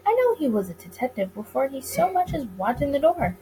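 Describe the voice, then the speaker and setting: A woman's voice: sits high, sing-song, brisk, warm tone, a little hiss or hum, voice pushed up, poor audio. A woman voicing a sly, flirtatious male speakeasy boss in a visual novel, with a courtly, teasing manner. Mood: smooth, persuasive. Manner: smooth, courtly, teasing, wordy.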